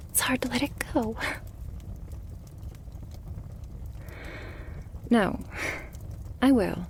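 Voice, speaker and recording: A woman playing a loving, possessive vampire girlfriend in an intimate ASMR roleplay, murmuring softly and breathily. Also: fairly high pitch, slight background noise. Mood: protective, concerned. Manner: soft, breathy, slow murmuring that often drops to whispers.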